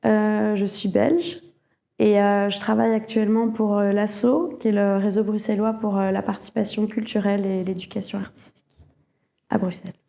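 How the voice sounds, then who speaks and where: A woman's voice: mid-range voice, flat delivery, moderate pace, vocal fry, warm tone. A woman from Alsace giving a personal testimonial in a reflective, hesitant way, often rephrasing as she goes. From Alsace, France. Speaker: cultural network worker, interviewee.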